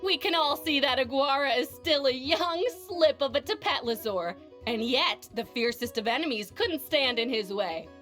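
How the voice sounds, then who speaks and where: A woman's voice: high voice, expressive, normal speed, a bit bright, faint music behind. A woman playing an upbeat, showy tournament announcer in a video game, hosting with polished, formal delivery. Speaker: event announcer. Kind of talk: scripted game dialogue, ceremony emceeing.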